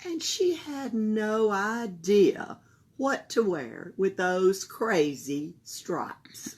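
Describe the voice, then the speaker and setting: A woman reading a children's picture book aloud for a library, slow and expressive. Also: medium pitch. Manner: slow, expressive, breathy storytelling. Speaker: library storyteller.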